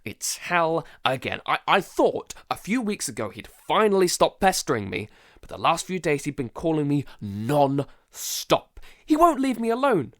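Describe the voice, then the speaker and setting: Guy acting a paranoid shut-in in an audio drama, stammery n whispery, slow, pitch all over, very dry close sound. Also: very animated, a bit bright, no echo, full character voice. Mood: paranoid, despairing. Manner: halting, stammering, sighing, dropping into whispers. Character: paranoid isolated man unravelling under a creeping unseen menace. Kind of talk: audio drama monologue, fragmented confessional.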